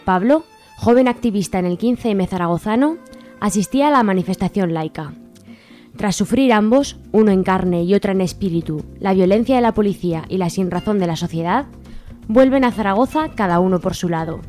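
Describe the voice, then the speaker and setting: A woman narrates a radio serial from a script. Her voice is of medium pitch for a woman. Faint music plays behind her. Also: animated, fairly quick, warm tone.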